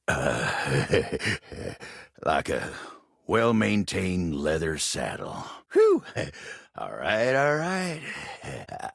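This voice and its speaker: A man voicing a gentle, lovestruck living table in a video game, with a gravelly, husky Southern American drawl and a bashful, earnest, folksy manner. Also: a bass voice, no echo, studio quality, exaggerated voice. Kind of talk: video game character acting.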